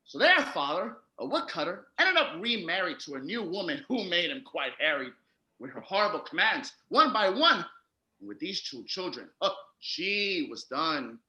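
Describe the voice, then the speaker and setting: Man playing a very bright, excitable German storyteller brother. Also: sits high, normal speed, full character voice. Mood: storytelling. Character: Wilhelm Grimm, excitable German storyteller brother of fairy tales. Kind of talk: comedic stage patter and rhymed storytelling. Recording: roomy, echoey home recording.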